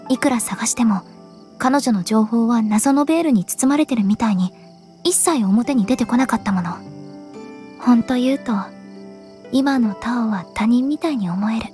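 A woman voicing an earnest, pensive young woman in an anime-style game, speaking in a serious, measured way. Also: a little high, dark tone, faint music behind, close to an everyday voice. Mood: wistful. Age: young adult. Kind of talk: Japanese anime game voice acting.

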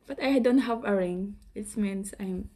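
A young woman from the Philippines with a Filipino accent, chatting casually and shyly in halting English on a phone livestream at home. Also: medium pitch, warm tone, a little hiss or hum. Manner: shy, hesitant, giggly, halting non-native English. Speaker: livestream host. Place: small room at home. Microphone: phone at arm's length.

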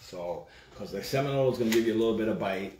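A man's voice: fairly low pitch, very fast, darkish tone, slight background noise. A middle-aged man hosting a casual live cooking stream from his home kitchen, joking with viewers and family as he works. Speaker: cooking show host. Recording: echoey kitchen with clattering dishes. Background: clatter of dishes and utensils.

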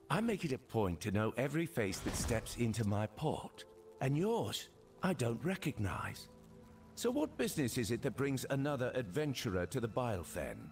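An actor playing a brusque, world-weary, middle-aged port master in a video game, irritable and dismissive. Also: male voice, mid-range voice. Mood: irritated. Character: gruff, irritable male port master of a swamp island. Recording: noisy game ambience behind.

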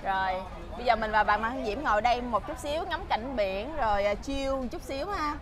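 Young southern Vietnamese woman, fairly high voice. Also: a little fast. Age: young adult. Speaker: vlog host. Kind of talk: casual vlog chat. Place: outdoor seaside restaurant terrace. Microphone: small clip-on mic on chest.

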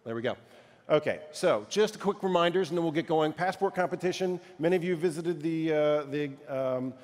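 A man's voice: fairly high pitch, a little fast. A middle-aged man hosting a conference keynote on a large stage, upbeat and chatty, with loose fillers. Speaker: keynote host. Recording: reverberant conference hall.

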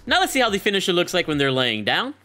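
A man's voice: sits high, wildly swooping pitch, fast talker, bright, forceful tone. A man in his late twenties giving hyped, slangy, casual gameplay commentary as a YouTuber, close on the mic in a small room. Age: late 20s. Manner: hyped, slangy, chuckling streamer talk. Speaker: gaming YouTuber reviewing a bundle. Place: small indoor gaming room. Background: faint music.

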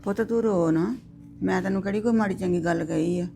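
Woman acting as older mother-in-law, gravelly, fast-talking, soothing but firm. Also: lowish voice, warm tone, faint music behind. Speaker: mother in a family drama. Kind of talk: domestic drama acting.

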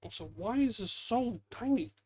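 A man's voice: extremely high pitch, animated, moderate pace, darkish tone, breathy edge. A man giving casual, rambling, self-deprecating gameplay commentary as the host, muttering into a headset mic at home. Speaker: gaming video host.

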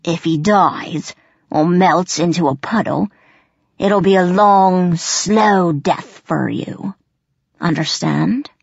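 A woman's voice: on the low side, slow, gravelly, breathy edge, no echo, highs cut off, full character voice. A woman voicing a menacing, gloating male goblin chief, a crude bully with rustic ungrammatical speech, in an audiobook. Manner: rustic ungrammatical dialect, snarling threats. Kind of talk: audiobook character voice.

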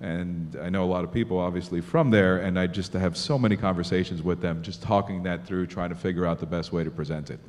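Male panelist from Miami, 30s, low-ish voice, reverberant conference hall, table mic. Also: quick pace, hardly any pauses. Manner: animated, thinking aloud with many restarts. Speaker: panelist journalist. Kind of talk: panel discussion answer. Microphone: table mic on stand.

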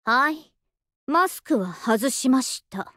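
A young anime game soldier woman with a high voice. She is languid and polite, with an understated affection, and her pitch swings widely. The sound is dry and close. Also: expressive, takes it slowly, bright, forceful tone, dry recording, full character voice. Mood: languid, calm. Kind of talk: Japanese anime game voice acting.

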